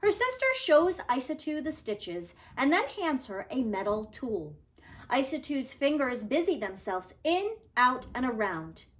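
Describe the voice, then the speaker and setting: A woman's voice: sits high, big pitch swings, measured pace. A woman teacher reading a children's story aloud expressively, with careful, dramatic phrasing and emphasis.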